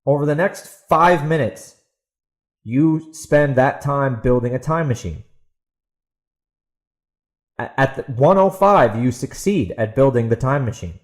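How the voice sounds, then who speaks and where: A man's voice: medium pitch, lively intonation, measured pace, long pauses. Casual, opinionated man ranting solo. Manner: opinionated, emphatic, conversational asides. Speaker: podcast host and author. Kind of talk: casual solo podcast rant.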